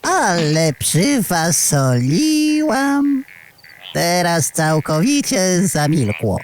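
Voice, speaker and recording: A woman's voice: fairly low pitch, sing-song, drawn-out words, background noise, full character voice. A woman voicing a fretful, hesitant talking battery in a children's audio drama. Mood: worried.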